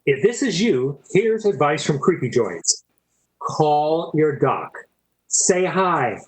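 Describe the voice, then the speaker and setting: A man's voice: a little high, very animated, slow, rough recording. A man working as a radio announcer, reading scripted sponsor spots and scene introductions in an old-time radio style.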